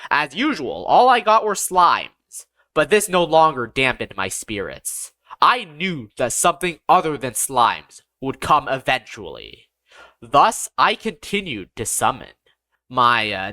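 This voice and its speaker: Higher-voiced man narrating a fantasy audiobook, animated. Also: no echo. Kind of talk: scripted first-person fantasy audiobook narration.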